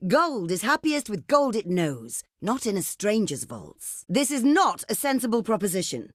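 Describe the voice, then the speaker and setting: Casting: proud desert queen, video game. Theatrical, haughty, courtly diction, very animated intonation, dry close studio sound. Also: medium pitch, normal speed, no room sound. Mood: cool refusal. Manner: haughty, theatrical, formal and ornate phrasing. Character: proud, imperious ancient desert queen, scornful and grandly courteous. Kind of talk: video game diplomacy voice lines.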